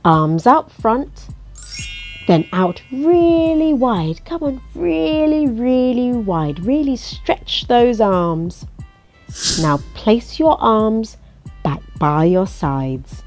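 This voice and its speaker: A woman reading a children's picture book aloud in an expressive, coaxing way, as if to young listeners. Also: on the high side, drawn-out words, soft background music. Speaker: storybook reader.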